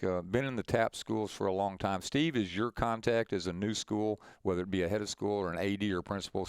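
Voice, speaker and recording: A man's voice: lowish voice, smooth, no room sound, clean studio sound. A middle-aged man giving an informal, encouraging address as the leader of a conference call, talking in a folksy way. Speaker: head of a school athletics association leading a conference call.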